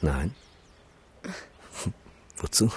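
An actor playing a weary, skeptical photographer in a naturalistic radio drama, with a sardonic manner. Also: male voice, extremely slow, a little mellow. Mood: wry, puzzled. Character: weary, skeptical male photographer documenting a disaster zone.

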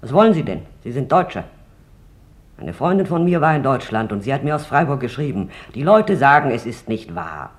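A performer playing a bitter woman from Amsterdam who gives testimony in a radio drama, recalling it haltingly and intensely. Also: mid-range voice, very animated, lightly acted. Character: bitter adult woman from Amsterdam, camp witness.

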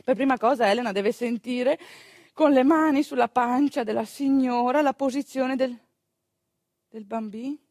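A woman's voice: high voice, normal speed, darkish tone, no room sound, clean studio sound. A woman telling a theatrical story, with conversational asides to her listeners. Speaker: stage actress narrating a monologue.

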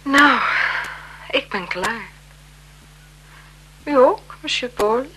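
An actress in a radio drama playing an elegant, flirtatious marquise, speaking in a coy, teasing, genteel manner with languid self-assurance. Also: female voice, sits high, lively intonation, very slow, bright, forceful tone, breathy edge, full character voice, poor audio. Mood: curious, polite. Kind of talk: 1970s Dutch radio drama acting.

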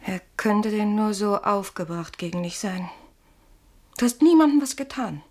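A woman acts a worried but sceptical woman in a radio drama, in a mid-pitched, very breathy voice that often sinks toward a whisper. She questions and reasons. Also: expressive, normal speed, darkish tone, lightly acted. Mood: insistent reasoning. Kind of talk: German radio play acting.

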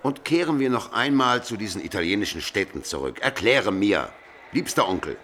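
An actor playing a proud, impatient medieval emperor in a German radio drama, speaking in an indignant, imperious manner. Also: male voice, fairly low pitch, sing-song, moderate pace, fairly bright tone. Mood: skeptical. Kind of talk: German radio play acting.

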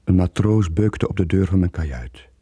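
Very deep, husky haunted sailor. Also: male voice, expressive, moderate pace, warm tone, dry recording, heavily acted. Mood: sombre. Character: haunted, guilt-ridden veteran sailor, middle-aged. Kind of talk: dramatic radio play monologue.

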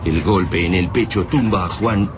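A man playing a grave storyteller, narrating a radio drama in measured, literary Argentine Spanish. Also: sits low. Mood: solemn, measured. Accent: Argentine Rioplatense. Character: grave, solemn male storyteller of doom. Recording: noisy sound-effects bed behind narration.

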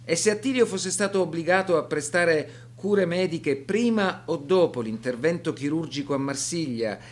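A man narrating a scripted documentary radio drama in a formal, deliberate manner. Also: fairly high pitch.

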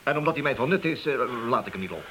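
A man's voice: mid-range voice, sing-song, racing, bright, forceful tone, slight background noise, low-quality recording. An actor playing a guarded, evasive man under police questioning in a radio play. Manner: defensive, evasive.

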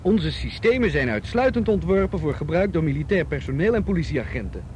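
A man's voice: on the high side, very animated, fairly quick, darkish tone, slight background noise. A man playing a young student in a Dutch radio play, a loyal but doubting friend who speaks hesitantly and repeats himself. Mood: indignant.

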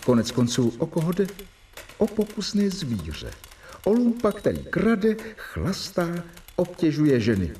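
Casting: brooding doctor-narrator in radio drama, lively intonation, a little off-mic. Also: male voice, fairly high pitch, distant mic, a little hiss or hum. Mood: agitated, brooding. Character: troubled male doctor, assistant to a professor.

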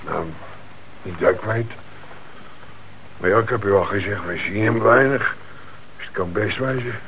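Troubled father: working-class, deferential, halting, fairly deep voice. Slightly noisy radio drama. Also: a bit bright. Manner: hesitant, humble, plain-spoken. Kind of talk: radio play acting.

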